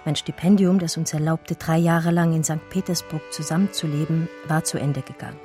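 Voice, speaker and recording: An actress playing a wistful German art historian, narrating memories of a lost lover in a measured, intimate radio drama style. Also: female voice, deep voice, steady tone, dark tone, soft background music, close to an everyday voice. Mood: sober recollection.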